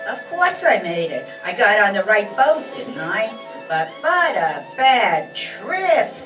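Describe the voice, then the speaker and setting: Woman acting complaining old-country mother, emphatic, swinging pitch, music behind, echoey hall. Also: very animated, slow, recorded from a distance. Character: grumbling, sharp-tongued immigrant mother from Russia. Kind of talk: radio play acting.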